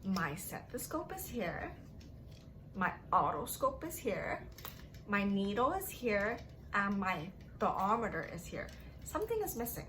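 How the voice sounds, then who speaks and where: A woman reading and teaching for young children as a story-time host, in simple, drawn-out phrases addressed to the kids, on a noisy recording. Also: mid-range voice, sing-song, fairly bright tone. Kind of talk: read-aloud narration and playful teaching to young children.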